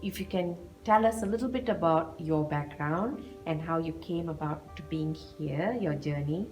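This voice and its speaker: Chatty female interviewer with a lowish voice and faint music underneath. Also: moderate pace, darkish tone. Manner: encouraging, chatty. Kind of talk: conversational interview.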